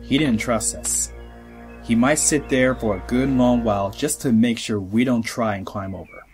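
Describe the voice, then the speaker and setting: An actor playing a composed, tactful away-team officer, speaking in a measured, diplomatic way in a sci-fi audio drama. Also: male voice, mid-range voice, loud music behind the voice, lightly acted. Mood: tactful, brisk.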